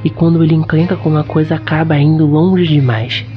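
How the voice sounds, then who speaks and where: Woman acting coy romantic young lady in a radio soap, muffled audio, soft music behind. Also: low voice, fairly even intonation, a little fast, close to an everyday voice. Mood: coy, tender. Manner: coy, sentimental. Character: Madalena Guadalupe, coy romantic young woman with strict father. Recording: dull lo-fi audio under music.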